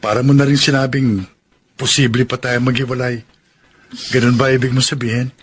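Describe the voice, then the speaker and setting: An actor with a Filipino accent playing a practical, working-class young suitor in a radio drama, with an earnest, pleading manner. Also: male voice, medium pitch, level tone, brisk, husky, close to an everyday voice. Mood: pleading, hurt. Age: young adult. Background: faint music.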